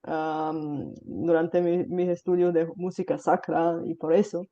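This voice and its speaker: A woman's voice: deep voice, steady tone, a little slow, lo-fi sound. A Bulgarian woman hosting a casual chat in Spanish with a slight Caribbean accent. She talks with many fillers and tag questions. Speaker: host. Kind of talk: casual conversation.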